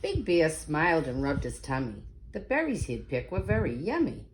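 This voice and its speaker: A woman's voice: deep voice, sing-song, normal speed, slight background noise. A woman narrating a children's picture book in a dramatic, sing-song read-aloud style.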